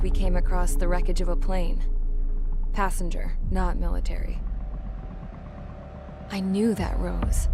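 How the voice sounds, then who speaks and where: An actress playing a weary, cynical military pilot-mechanic who narrates a game cutscene in a blunt, jaded way. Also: female voice, on the low side. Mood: jaded, grim. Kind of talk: scripted first-person game cutscene narration. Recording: loud noise under the voice.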